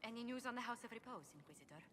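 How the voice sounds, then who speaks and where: A woman's voice: on the high side, animated, very fast, fairly bright tone. An actress playing a courteous female court diplomat in a video game, speaking formally and politely. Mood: composed. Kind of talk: video game dialogue acting. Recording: long hall reverb, background noise.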